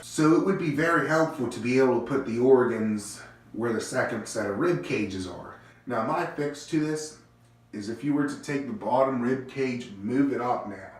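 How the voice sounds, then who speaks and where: Male host with a stuffy cold, low-energy rambling musings, very echoey bathroom recording, a bit off-mic. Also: recorded from a distance. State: congested, stuffy-nosed. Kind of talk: casual speculative monologue. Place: small home bathroom.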